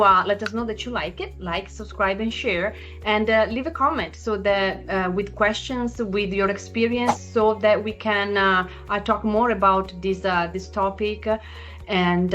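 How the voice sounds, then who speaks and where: A woman hosting a podcast in a conversational, agreeable way, speaking haltingly as she puts her thoughts together. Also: mid-range voice, fairly bright tone. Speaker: podcast host.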